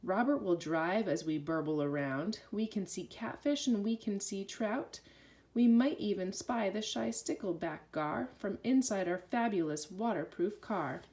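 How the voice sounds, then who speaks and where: A woman reading a rhyming children's story aloud with an expressive, sing-song delivery. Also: mid-range voice, measured pace.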